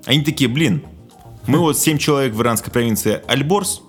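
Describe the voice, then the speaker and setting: A young man co-hosting a casual news podcast, telling things in an informal, slangy and animated way. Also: mid-range voice, a little fast, soft background music. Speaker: podcast co-host. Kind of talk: casual chat about news.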